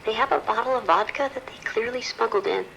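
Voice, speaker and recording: A woman's voice: on the high side, fast, vocal fry, a bit bright, a little hiss or hum, rough recording. A woman playing an earnest, hesitant camp counselor making a report in an audio drama. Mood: hesitant, concerned. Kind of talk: naturalistic audio drama acting.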